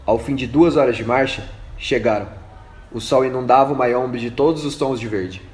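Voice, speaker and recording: A man's voice: mid-range voice, moderate pace. A man reading scripted narration for an amateur radio drama. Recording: echoey room with faint noise.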